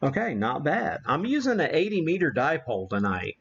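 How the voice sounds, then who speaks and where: A man hosting a casual, unscripted live radio-hobby demo, thinking aloud in a halting way as he works the equipment. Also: fairly high pitch, huge pitch swings, creaky, fairly bright tone, slightly rough, lo-fi sound. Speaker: livestream host, amateur radio operator.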